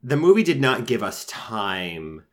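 Low-voiced man chatting about a film. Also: very animated, a little slow, fairly bright tone. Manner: conversational, frequent fillers and restarts, mock voices when quoting characters. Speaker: film reviewer. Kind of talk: casual podcast discussion.

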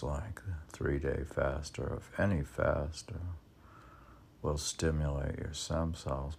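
Very deep, gravelly man, halting and musing. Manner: halting, musing, talks back to himself. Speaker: poet reading own poems aloud. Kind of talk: casual poetry reading with rambling self-commentary.

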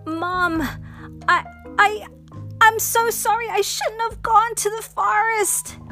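A woman's voice: very high voice, flat delivery, measured pace, vocal fry, bright, forceful tone, soft background music, voice pushed up, heavily acted. Woman doing a pleading little girl. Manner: pleading, stammering. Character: frightened little girl, apologetic.

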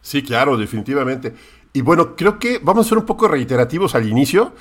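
A man's voice: mid-range voice, big pitch swings, brisk. A man hosting a podcast, introducing a guest with many restarts and hesitations. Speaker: podcast host. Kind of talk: podcast interview.

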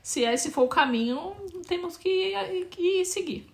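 A woman's voice: extremely high pitch, animated, measured pace. A woman in her forties with a Brazilian accent, answering questions in a casual interview, thoughtful and hesitant, often restarting her phrases. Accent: Brazilian Portuguese. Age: 40s. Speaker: interviewee, Brazilian immigrant in Portugal.

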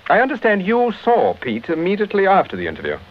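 An actor playing a suave, courteous English gentleman sleuth with a polished Received Pronunciation accent in a British radio drama. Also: high voice, wildly swooping pitch, fast. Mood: polite, probing. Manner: polite, urbane. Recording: dull lo-fi vintage radio recording.

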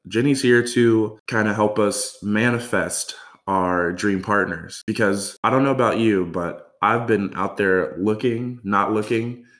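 A man's voice: lowish voice, a little slow, few pauses, a bit bright. A man hosting a podcast, talking casually and filling his speech with likes. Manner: friendly, filler-heavy, says like a lot. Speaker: podcast host.

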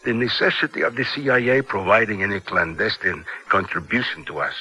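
A deep, forceful Latin American colonel. He is smooth, formal and coldly calculating, weighing each phrase in classic radio drama style. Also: expressive, fairly quick, full character voice.

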